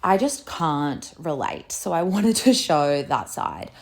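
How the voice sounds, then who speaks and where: A woman's voice: sits low, big pitch swings, slow, darkish tone. A woman in her thirties talking candidly and sardonically in a confessional social video. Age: 30s. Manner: candid, sardonic, casual swearing.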